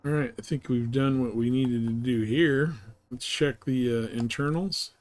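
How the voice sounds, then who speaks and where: Man, medium pitch, laid-back, rambling game streamer chat. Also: fairly even intonation, measured pace, a little mellow. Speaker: live streamer playing a space game. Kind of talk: casual gaming stream chat, thinking aloud.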